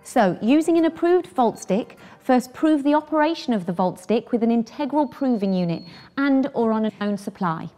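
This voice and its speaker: A woman's voice: fairly high pitch, animated, normal speed, dark tone, faint music behind. A British woman in her thirties presenting scripted instructions for a training film.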